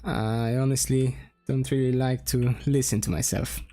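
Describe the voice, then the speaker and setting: A man from Poland with a Polish accent, talking casually as he draws on a stream, slowly thinking aloud with pauses and restarts. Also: mid-range voice, flat delivery, dark tone, faint music behind. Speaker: streamer and game developer. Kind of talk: casual drawing stream talk.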